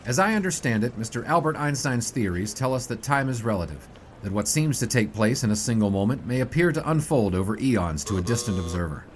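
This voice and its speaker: Low male voice, acted earnest wry young newspaperman, 1920s radio drama, faint background noise. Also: close to an everyday voice. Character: earnest, wry young 1920s sports reporter, Orville Mulligan. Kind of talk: period radio drama dialogue and first-person narration.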